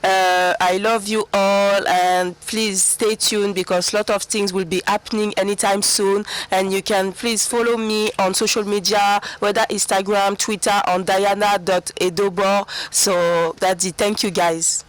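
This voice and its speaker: A woman speaks softly and earnestly in a radio studio. Her voice is sweet and sits at a mid pitch. She keeps a calm, sincere tone. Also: a little slow, hardly any pauses, low-quality recording. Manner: soft-spoken, earnest, sweet voice. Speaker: reality show ex-contestant guest. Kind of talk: casual radio interview.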